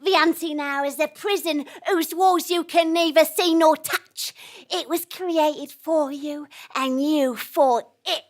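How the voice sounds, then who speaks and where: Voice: high-pitched, fairly even intonation, takes it slowly. An actor voicing a solemn, cryptic shaven-headed child guarding a temple in a comic audio drama, with a grave, portentous manner.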